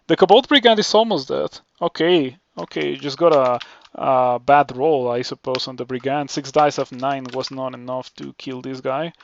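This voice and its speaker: A man from Mexico with a Mexican Spanish accent and Spanish as his first language, calmly commentating a live game stream and thinking aloud with many fillers. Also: mid-range voice, normal speed, creaky, muffled recording, slight background noise.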